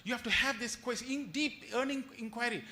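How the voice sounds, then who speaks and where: High-pitched, bright-voiced man preaching. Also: a little fast. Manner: animated storytelling with acted-out quotes and rhetorical questions; repeats phrases for emphasis. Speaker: spiritual retreat speaker. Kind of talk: devotional lecture to an audience.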